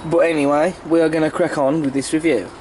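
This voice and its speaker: A British man with a croaky, congested voice from a cold and sore throat, giving a casual, rambling, explanatory review to camera as a hobby video reviewer. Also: on the high side, fairly even intonation, fast talker, darkish tone, slight background noise, poor audio. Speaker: model railway video reviewer.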